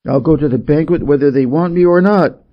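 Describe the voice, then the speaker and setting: A man voicing a cheeky, boastful and excitable monkey king in a storybook reading, on a slightly muffled recording. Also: a little high, a little fast, natural voice. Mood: indignant. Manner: brash and excitable. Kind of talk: storybook character voice.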